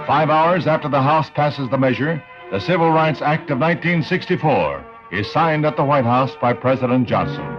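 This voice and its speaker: Newsreel narrator: American man, mid-pitched, formal and declamatory, scripted 1960s delivery with prominent music underneath. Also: animated, normal speed, hardly any pauses, dull audio.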